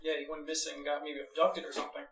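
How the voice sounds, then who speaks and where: A man's voice: a little high, completely flat delivery, fast talker, far from the mic, noisy background. A man playing a tabletop game, talking casually with the other players. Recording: roomy, off-mic, chatter around table.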